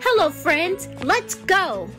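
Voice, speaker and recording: A woman hosting a children's read-aloud, narrating rhyming storybook lines in an expressive, deliberate and emphatic way for young listeners. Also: very high voice, over loud music.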